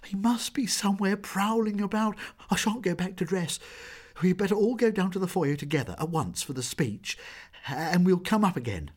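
A man's voice: high voice, expressive, normal speed, slightly rough, pitched above the performer's own voice. A man voicing a frightened young female ballet dancer, speaking in a trembling, breathless way.

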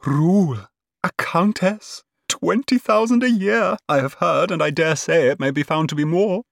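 A man's voice: mid-range voice, lively intonation, moderate pace, slightly rough, no echo, studio quality, pitched above the performer's own voice. A man voicing a gushing, worldly middle-aged society lady in a period novel, with breathless, emphatic exclamations. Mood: awed. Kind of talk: dramatised period novel narration.

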